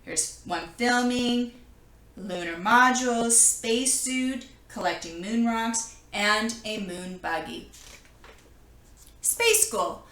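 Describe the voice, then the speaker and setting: Female storybook reader, clear-cut bright tone, expressive read-aloud for young children, roomy echoing indoor recording. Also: fairly high pitch, slow pace.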